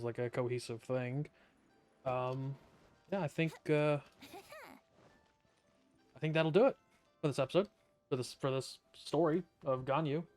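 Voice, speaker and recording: A man's voice: medium pitch, darkish tone. A man streaming a game, chatting casually with sarcastic remarks while he hums and scats along. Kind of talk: casual gameplay commentary.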